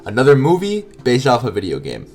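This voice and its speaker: Man, nasal congested voice, mid pitch, podcast co-host, casual conversational delivery. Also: wildly swooping pitch, brisk, a little mellow.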